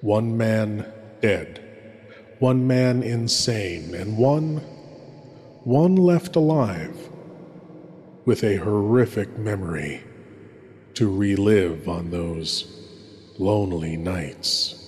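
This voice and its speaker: A man's voice: lowish voice, lively intonation, extremely slow, pauses often, a little mellow. A man playing a sinister, ghoulish horror show host, theatrical, ominous and pompous. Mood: grave, ominous. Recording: long hall reverb, faint music.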